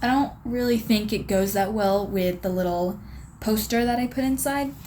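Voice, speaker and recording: A woman's voice: mid-range voice, moderate pace, a little mellow, a little hiss or hum. A young woman vlogging at home, chatty and rambling, her voice a little strained from a sore throat. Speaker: craft vlogger. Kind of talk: casual vlog narration.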